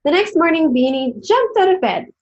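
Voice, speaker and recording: A woman narrating a children's storybook aloud in an expressive read-aloud style. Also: very high voice, moderate pace, muffled recording, a little hiss or hum. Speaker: storybook reader.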